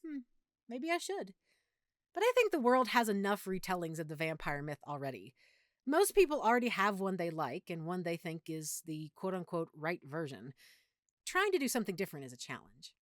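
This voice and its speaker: Casting: actress as affectionate girlfriend with a vampire secret, intimate audio roleplay. Lively, swooping intonation, medium pitch, very dry close sound. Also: moderate pace, dry recording. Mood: earnest, geeky. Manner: chatty, playful, geeky explaining.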